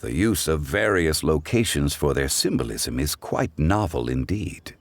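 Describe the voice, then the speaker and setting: A very low-voiced scholarly gentleman for a fantasy game. He judges poetry with formal, measured eloquence, and his intonation moves widely while he stays composed. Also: expressive, no room sound, full character voice. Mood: thoughtful praise. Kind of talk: scripted game dialogue.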